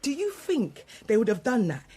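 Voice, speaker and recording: A woman's voice: a little high, wildly swooping pitch, normal speed, dark tone, slightly rough, exaggerated voice. An actress playing an angry, grieving mother under police questioning, defiant and colloquial, in a naturalistic radio drama. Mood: bitter, scornful.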